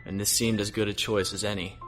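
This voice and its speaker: A man's voice: lowish voice, flat delivery, fairly quick, a bit bright, soft background music, lightly acted. A man playing a weary, principled starship captain in a science fiction audio drama, reflective and earnest.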